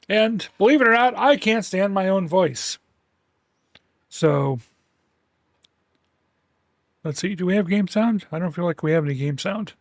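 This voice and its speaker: Gravelly man chatting on a livestream. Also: high voice, slow pace, pauses often, no echo, studio quality. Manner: rambling, many restarts and fillers; relaxed, soft-spoken. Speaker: streamer. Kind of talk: casual livestream chat. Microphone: headset.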